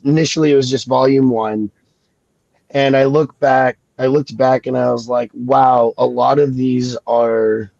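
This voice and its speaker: A man talking slowly and reflectively in a casual podcast chat, with many fillers and restarts, sniffling from a cold. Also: medium pitch, vocal fry, a little mellow, no echo, dull audio. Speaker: guest comic creator.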